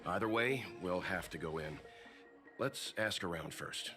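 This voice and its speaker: A man voicing a calm, world-weary older gentleman in a video game, speaking politely and with measure. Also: deep voice, a little slow. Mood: resolute. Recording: background music throughout.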